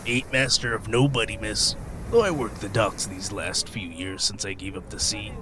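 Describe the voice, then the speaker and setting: An actor playing a weathered, gruff old sailor in a radio play, telling sea tales in folksy, hesitant rustic speech. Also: male voice, lowish voice, moderate pace, pushed and bright, a little hiss or hum. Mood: gruff, modest.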